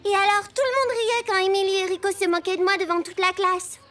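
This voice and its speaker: A woman voicing an earnest, expressive young schoolgirl in a French children's cartoon. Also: extremely high pitch, fast talker. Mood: defensive. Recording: music behind the voice.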